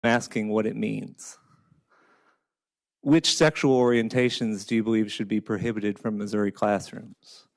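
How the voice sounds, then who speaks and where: A man questions a fellow legislator during a hearing, pointed and incredulous as he presses her. His voice is lowish and gravelly with fry. It sounds dry and close. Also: fairly even intonation, unhurried, no echo. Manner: pointed, incredulous cross-examining. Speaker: state legislator questioning a colleague.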